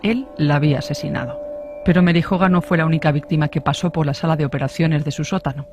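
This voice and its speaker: Casting: male actor as townsman telling of grisly murders, fairly high voice, soft music bed, radio thriller. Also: steady tone, fast, lightly acted. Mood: grave. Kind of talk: scripted suspense storytelling.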